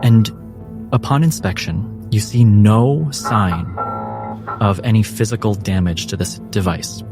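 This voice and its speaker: A man narrating a tabletop game as game master in a measured storytelling way. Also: lowish voice. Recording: music bed under narration.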